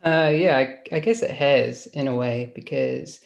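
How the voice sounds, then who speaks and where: Gravelly young New Zealand man. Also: medium pitch, measured pace, slight background noise. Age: 20s. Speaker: guest.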